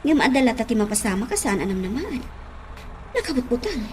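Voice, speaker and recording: A woman's voice: on the high side, very animated, brisk, a little mellow, slight background noise. A woman with an Ilocano accent playing a distressed woman wavering in her faith in a radio drama. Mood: distressed, doubtful.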